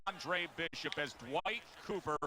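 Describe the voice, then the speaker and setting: A man playing an excitable boxing play-by-play announcer in a video game. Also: on the high side, a little slow. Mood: focused, urgent.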